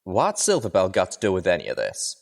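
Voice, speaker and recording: A man's voice: sits low, wildly swooping pitch, brisk, creaky, full character voice. A man voicing a plain-spoken, resolute male cowboy pegasus with a folksy, matter-of-fact drawl. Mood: puzzled.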